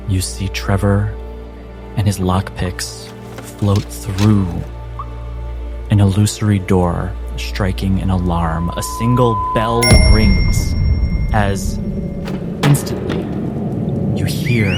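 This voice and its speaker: A man with a fairly low voice runs a tabletop game as its narrator. He speaks very slowly and deliberately, leaving suspenseful pauses between phrases, with clear music behind him. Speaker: game master. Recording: voice over background music.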